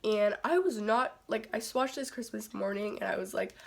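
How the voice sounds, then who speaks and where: A teenage girl chatting casually to her phone camera in a beauty vlog, her voice raspy and congested from a cold. She rambles with lots of "like" and "um". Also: mid-range voice, normal speed. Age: teens. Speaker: beauty vlogger.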